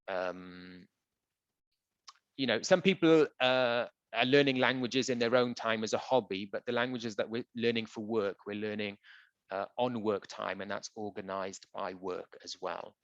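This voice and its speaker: A man who works as a translator, answering audience questions in a relaxed, conversational way with frequent ums and uhs. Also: lowish voice, unhurried, dry recording. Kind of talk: conversational Q&A presentation. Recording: dull, dry lo-fi audio.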